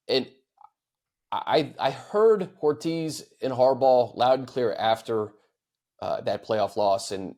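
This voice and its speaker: An American man hosting a sports podcast, discussing the game casually and giving his opinions in a measured way. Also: lowish voice, a little mellow.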